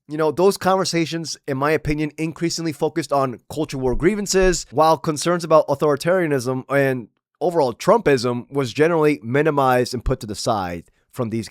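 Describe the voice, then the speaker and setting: A man's voice: on the high side, no echo, studio quality. A young man from Maryland giving blunt, slangy political commentary while losing his voice. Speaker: commentary channel host.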